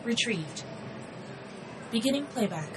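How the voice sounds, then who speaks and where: A woman playing a polite, efficient AI assistant with a crisp, impersonal manner in a sci-fi audio drama. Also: on the high side, expressive, slow pace, noisy background. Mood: neutral, obliging.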